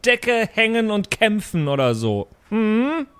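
A man with a high-pitched voice takes part in an improvised tabletop game. He speaks casually as a player. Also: very animated, slow, a bit bright, clear, resonant tone. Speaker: tabletop role-playing player.

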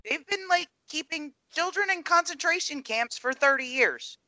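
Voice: high voice, animated, normal speed, sharp tone, no room sound, rough recording. A podcast co-host in casual political chat, speaking bluntly and emphatically. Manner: blunt, emphatic lists of claims.

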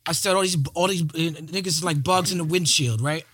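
A male podcast host tells stories in animated, rapid bursts during a loose interview. His voice is high and bright with a forward edge, and his speech is casual and full of swearing. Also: slightly rough. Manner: animated storyteller, fast bursts, profane.

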